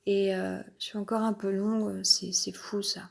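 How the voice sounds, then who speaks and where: A woman's voice: medium pitch, level tone, moderate pace, gravelly. Woman with a cold, rambling and hesitant. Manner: rambling, hesitant, many fillers and restarts. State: has a cold, stuffy. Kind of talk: improvised personal monologue. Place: uncomfortable spot with noise overhead.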